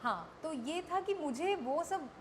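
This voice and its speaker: A high-voiced woman with an Indian accent talks as a guest in a candid interview. She is reflective and slips between Hindi and English phrases. Some noise sits behind her voice. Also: lively intonation, takes it slowly. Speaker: interview guest, radio jockey and environmental activist.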